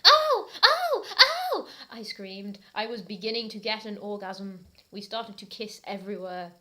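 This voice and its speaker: A woman's voice: medium pitch, little pitch movement, normal speed. A British woman hosting and reading fan fiction aloud as a theatrical comic performance. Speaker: host and reader.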